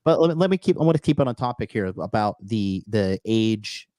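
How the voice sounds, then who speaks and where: A brash man in his thirties runs a casual livestream chat on a video call, swearing freely and rambling through fillers and restarts. His voice sits fairly low and the sound is dry and close, with no room echo behind it. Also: animated, brisk, a little mellow. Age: 30s. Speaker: podcast host.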